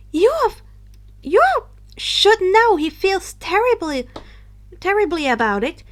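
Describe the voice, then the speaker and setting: Woman voicing Luna, an emphatic high-pitched young witch. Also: full character voice. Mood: earnest, pleading. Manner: exclaiming, emphatic. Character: Luna, earnest indignant young witch girl.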